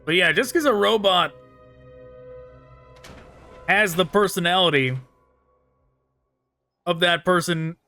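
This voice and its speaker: Male gaming streamer, high voice, informal amused musing, casual commentary over soft music. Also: takes it slowly, pauses often. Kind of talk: casual let's play commentary.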